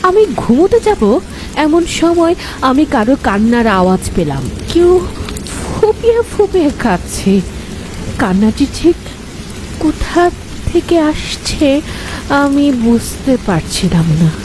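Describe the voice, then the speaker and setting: Casting: female horror storyteller, theatrical and suspenseful, unhurried pace with lively swings in pitch. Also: background noise. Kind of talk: dramatic first-person horror story narration.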